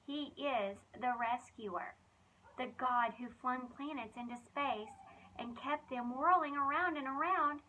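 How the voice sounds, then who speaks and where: Bright, high-voiced woman reading to children. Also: rough recording. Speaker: children's ministry reader. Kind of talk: expressive storybook read-aloud to children.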